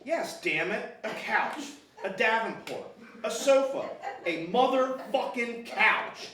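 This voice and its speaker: A man's voice: high voice, lively intonation, slow pace, pushed and bright, full character voice. An exasperated, foul-mouthed fisherman acted out by a man in a comedy table read. He drags out his words with sarcastic, swooping emphasis. The sound is very reverberant and off-mic. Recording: very echoey room, distant mic, noisy.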